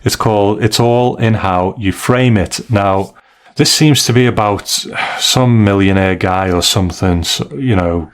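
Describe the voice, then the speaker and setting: A man co-hosting a casual review chat, speaking in a measured, understated way. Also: on the low side, clean tone. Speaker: podcast co-host.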